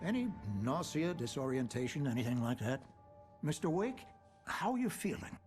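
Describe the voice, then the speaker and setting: An actor playing a kindly, cautious doctor in a video game, attentive and deliberate. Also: male voice, on the high side, very animated, music in the background. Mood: concerned. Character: kindly, cautious male doctor examining a patient.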